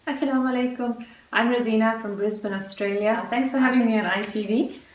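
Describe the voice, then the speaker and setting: A woman in her thirties from Zimbabwe introducing herself in a friendly, relaxed way, with fillers. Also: a little high, brisk. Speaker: guest contributor, children's book author. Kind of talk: casual self-introduction. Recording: roomy, distant mic.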